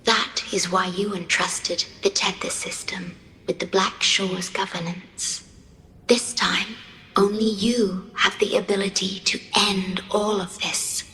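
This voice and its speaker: An actress playing a gentle, devoted island guardian in a video game, speaking softly and solemnly with a breathy, reserved sorrow. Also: female voice, mid-range voice, level tone, roomy sound, poor audio. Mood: solemn, wistful. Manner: soft, breathy, solemn and measured delivery. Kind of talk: video game character acting.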